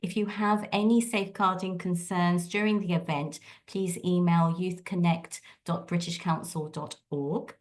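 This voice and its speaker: A woman's voice: on the low side, fairly even intonation, unhurried, few pauses. A woman co-hosting an online event over a video call, giving housekeeping announcements in a friendly way with many filler ums. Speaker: event co-host.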